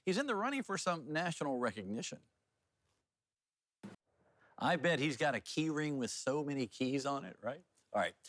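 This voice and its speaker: A man's voice: fairly high pitch, fairly bright tone, no room sound. Playful male news anchor, swooping intonation. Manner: playful, folksy, jokey. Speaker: TV news anchor and feature reporter. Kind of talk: casual news anchoring with banter.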